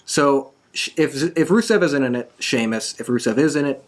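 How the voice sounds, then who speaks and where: A man's voice: medium pitch, animated, normal speed. A man hosting a casual, conversational discussion show about sports entertainment. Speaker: podcast host.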